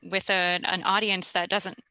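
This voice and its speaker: A woman from Minnesota, a classical singer, speaking thoughtfully in a relaxed interview with some ums. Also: on the low side, a little slow, no room sound. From Minnesota, USA. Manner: thoughtful, articulate, with fillers. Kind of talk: casual conversation.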